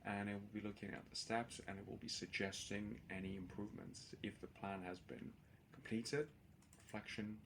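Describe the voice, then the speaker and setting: A man with a low, gravelly voice walks through a software demonstration as an informal tutorial. He speaks haltingly, pausing often to fill gaps as he explains each step. A faint noise sits behind his voice. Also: level tone. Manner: hesitant, halting explanation with many filled pauses. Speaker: presenter of a software demo. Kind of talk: screen-share tutorial narration, unscripted.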